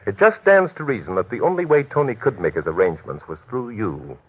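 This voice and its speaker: A man playing a shrewd, unruffled detective in a classic radio mystery, polite, methodical and smoothly insistent, with a husky voice. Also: lowish voice, big pitch swings, a little fast. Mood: pressing, then smug. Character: shrewd, unruffled male detective, courteous and probing. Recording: tinny, narrow-band old radio recording.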